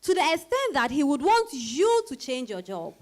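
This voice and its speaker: A woman's voice: sits high, big pitch swings, moderate pace. An actress with a Ghanaian accent playing a realistic, frank woman friend in a dramatized reading, emphatic and sisterly in her chiding. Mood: chiding, knowing.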